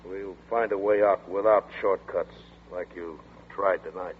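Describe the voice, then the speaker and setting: A man's voice: low-pitched, fairly even intonation, measured pace, breathy edge. A man voicing a tough, weary detective friend who talks in a blunt, matter-of-fact way, in 1940s radio drama. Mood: gruff. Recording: tinny old radio broadcast.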